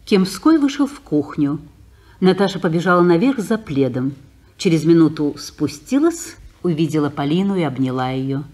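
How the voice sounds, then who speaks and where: A woman narrating the stage directions of a 1950s radio play, brisk and matter-of-fact. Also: low voice, lively intonation, darkish tone.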